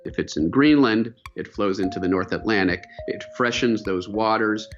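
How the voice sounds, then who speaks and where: A man's voice: lowish voice, normal speed. A man, a climate scientist, explaining fluently and emphatically in a documentary interview. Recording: dull lo-fi video audio with faint music.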